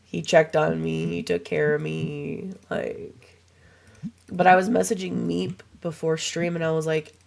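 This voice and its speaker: A young woman streaming a game, chatting casually to her viewers with a voice still hoarse and froggy from a cold, rambling and swearing freely. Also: low-pitched, level tone, unhurried, darkish tone. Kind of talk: casual livestream chat while gaming.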